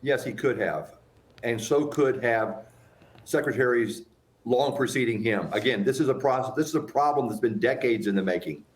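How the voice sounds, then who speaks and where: Measured American man, expert interviewee. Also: medium pitch. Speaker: expert guest, former aviation official. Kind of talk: news interview answers.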